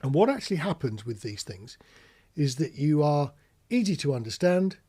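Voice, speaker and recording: British guy explaining stuff like an instructor, kinda high husky voice, chatty and plain spoken. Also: sing-song, normal speed, warm tone. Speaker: marine radio instructor. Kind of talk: informal spoken tutorial with practical demonstrations.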